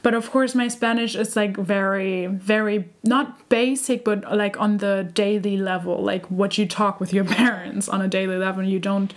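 Young german woman on a podcast, lots of ums and restarts, mid pitch, just chatting. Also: steady tone, moderate pace, hardly any pauses. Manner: hesitant, many fillers and restarts. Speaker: podcast co-host. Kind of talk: casual chat.

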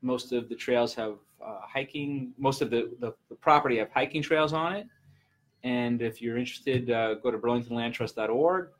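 Halting man in his sixties, chatting informally. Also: medium pitch. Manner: halting, repeats words and trails off. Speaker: guest, land trust representative and gym client. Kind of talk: casual unscripted interview chat.

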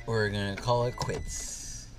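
A man's voice: fairly low pitch, level tone, slow pace, a little hiss or hum. A young man co-hosting a podcast, chatting casually from a parked car and rambling with lots of fillers. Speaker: podcast co-host. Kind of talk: casual chat.

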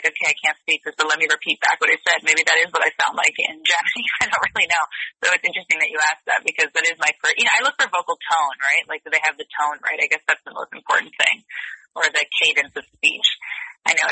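A woman's voice: low voice, bright, forceful tone, breathy edge, no room sound, poor audio. A woman voice actress being interviewed, bubbly and gushing, laughing often. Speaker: voice actress guest. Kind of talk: press interview.

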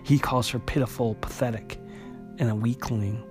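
A man hosts an informal story recap with music clearly playing behind him. His voice sits at an average pitch. He narrates in a halting way, circling back to repeat words and restart phrases as he goes. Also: steady tone. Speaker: host retelling a comic book story.

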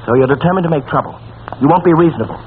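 Earnest young officer, male actor, fast and flustered, deferential, vintage radio comedy on a thin, narrow-band recording. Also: on the high side, close to an everyday voice. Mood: pleading. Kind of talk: 1940s radio comedy acting. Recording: noisy, tinny old-time radio recording.

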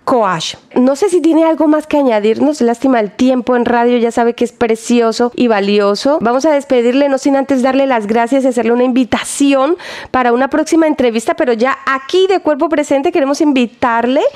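A woman hosts a radio interview from a studio, with a notably high voice. She is lively and quick to laugh between questions. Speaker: radio host.

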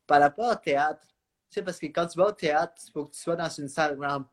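Male host, informal, conversational, slightly high voice. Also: fast. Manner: chatty, frequent "ouais" and "tu sais". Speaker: live stream host. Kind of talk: casual interview.

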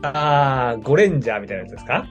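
A man's voice: mid-range voice, very animated, racing, faint music behind. A man in his thirties casually chatting, reacting and asking questions as a podcast co-host.

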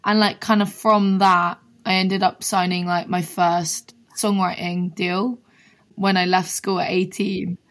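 A young woman with a husky voice, a singer-songwriter from London, chatting casually as a guest and filling her talk with "like". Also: on the low side, little pitch movement, a little slow. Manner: chatty, peppered with "like". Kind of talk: casual interview chat.

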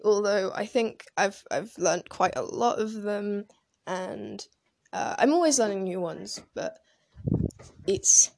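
A woman who grew up in France talking casually into her phone for a vlog, hesitant and rambling with plenty of pauses and fillers. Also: mid-range voice, a little mellow. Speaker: vlogger. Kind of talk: casual personal talk to camera.

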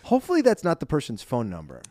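A young man co-hosting a podcast, reading listener reviews in a lively, self-deprecating chat and laughing easily. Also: mid-range voice, fast talker, dry recording. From Ireland. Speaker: podcast co-host.

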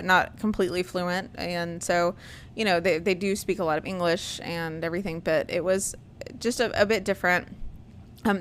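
A woman talks in an easygoing host's chat, wandering from thought to thought and filling her pauses with ums and likes. Her voice sits a bit low for a woman. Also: fairly bright tone. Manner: rambling, with many ums and likes. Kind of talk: casual chat.

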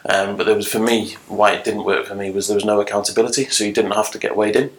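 A man's voice: fairly low pitch, level tone, quick pace. A man speaking as a guest on a casual podcast, earnest and plain-spoken with frequent ums.

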